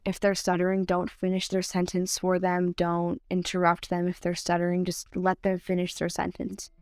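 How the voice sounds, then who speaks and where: A woman's voice: fairly low pitch, clear, resonant tone, dry recording, studio quality. A seventeen-year-old girl from Ohio with a mild stutter, telling her own story in a candid, reflective way. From Columbus, Ohio, United States. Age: teen, 17. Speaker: student storyteller. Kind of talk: personal narrated story.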